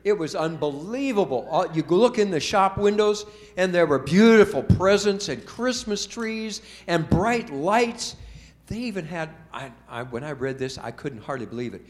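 A man's voice: sits high, expressive, normal speed. Folksy older man telling kids a story. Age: 70s. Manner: folksy, asks the children questions. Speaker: storyteller for children. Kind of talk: casual children's storytime. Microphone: told from memory without notes.

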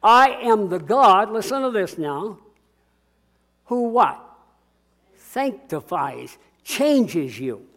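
An older man preaching a sermon to a church congregation, booming and emphatic, slowing down to stress key words. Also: big pitch swings, a bit bright. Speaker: pastor.

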